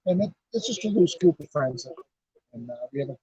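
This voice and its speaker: A middle-aged man talks in an easygoing, folksy way at an outdoor car show. His voice is husky and a little breathy. The sound is dry and dull, like a lo-fi live stream. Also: a little high, animated, brisk, warm tone, dry recording. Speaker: car club president and garage owner. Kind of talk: casual on-site interview.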